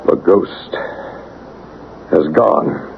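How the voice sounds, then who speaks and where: An actor playing a grave, soothing psychic investigator in a radio drama, with solemn, coaxing phrasing. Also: male voice, sits low, drawn-out words, gravelly, phone-quality sound, exaggerated voice. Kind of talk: 1970s radio drama acting and narration. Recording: thin, faintly noisy old radio recording.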